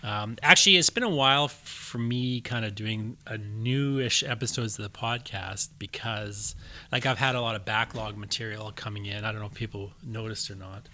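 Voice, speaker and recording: A man's voice: on the low side, moderate pace, sharp tone. A man hosting a podcast about writing, talking casually and explaining his points through leading questions. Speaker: podcast host. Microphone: studio microphone.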